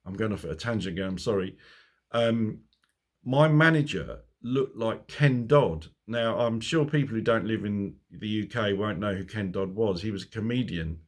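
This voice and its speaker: A man's voice: fairly low pitch, a bit bright. A man, middle-aged, whose throat is sore and voice is going. He chats to a phone camera in a loose, rambling way, laughing at his own jokes and catching himself going off on tangents. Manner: rambling, chuckling, self-mocking asides. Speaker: motorcycle vlogger. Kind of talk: casual chat to camera.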